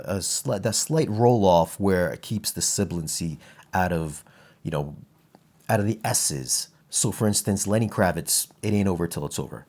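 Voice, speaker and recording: A man's voice: low-pitched, darkish tone. A man giving a casual, conversational product review with an enthusiastic manner, as an audio gear reviewer.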